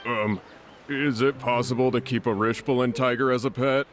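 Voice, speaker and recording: A man's voice: mid-range voice, fairly even intonation, moderate pace, natural voice. An actor voicing a hesitant male townsman in a game.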